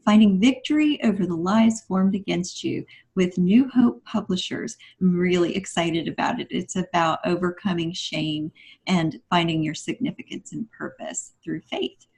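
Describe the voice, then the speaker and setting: A woman's voice: lowish voice, normal speed, a little mellow. A woman talking conversationally as a guest co-host on a podcast. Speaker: guest co-host, author and life coach.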